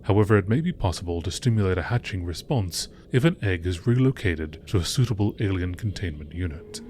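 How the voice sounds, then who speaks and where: A man narrates in a nature documentary style, reading scientific-sounding text from a script. His voice is low, and there is faint noise behind it. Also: lively intonation, a little fast. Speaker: narrator reading game lore texts.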